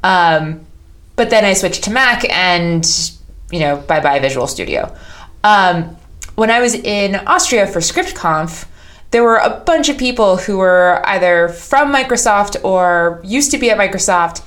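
A woman's voice: medium pitch, measured pace. Emphatic woman chatting on a podcast. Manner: emphatic, animated enthusiasm. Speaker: podcast co-host. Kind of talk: casual chat.

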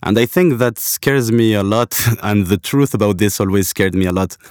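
A man from Algeria, a podcast guest in his thirties, speaking in a reflective, halting way with many restarts. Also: lowish voice, darkish tone, clean tone, dry recording, studio quality. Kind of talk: casual conversation.

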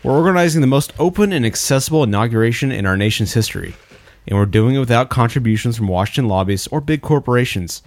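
Man, average pitch, podcast host doing casual jokey banter, kinda mock-formal. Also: expressive, quick pace.

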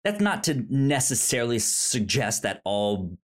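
A man speaks as a podcast co-host in loose, conversational film talk. His pitch moves in an animated way across a wide span. He often catches on the first sounds of words and repeats them before carrying on. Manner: halting, with repeated stuttered word starts. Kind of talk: casual film discussion chat.

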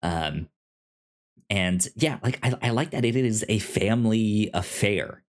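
A man co-hosting a podcast in casual film discussion, speaking haltingly as he thinks aloud. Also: on the low side, lively intonation. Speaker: podcast co-host.

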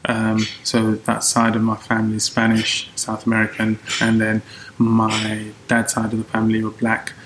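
Low, flat-voiced Colombian-born man. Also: normal speed, a little hiss or hum, rough recording. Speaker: podcast guest. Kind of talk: casual interview talk.

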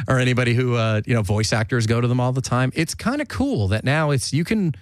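A chatty man hosts a relaxed talk show, rambling along and restarting his sentences, with frequent laughs. The recording is dry, with no room echo. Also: medium pitch. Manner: rambling, self-interrupting, laughs often. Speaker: podcast host. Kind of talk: casual chat.

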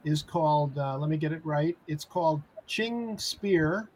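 A man's voice: a little high, measured pace, darkish tone, slight background noise. A man interviewing a guest conversationally, feeling his way through questions and rephrasing them. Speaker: interviewer, host.

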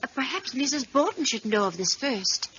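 A woman's voice: high voice, sing-song, normal speed, a bit bright. An actress playing a well-meaning, flustered neighbour woman with hesitant delivery in a 1950s radio drama. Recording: dull, noisy 1950s radio recording.

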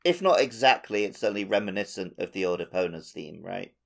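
A man's voice: deep voice, expressive, brisk, gravelly. A man co-hosting a gaming podcast, chatting casually and tentatively as he thinks aloud. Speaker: podcast co-host.

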